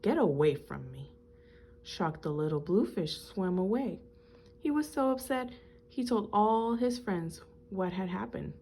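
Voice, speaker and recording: A woman reading a picture book aloud to children in an expressive, teacherly way, explaining things simply. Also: medium pitch, unhurried.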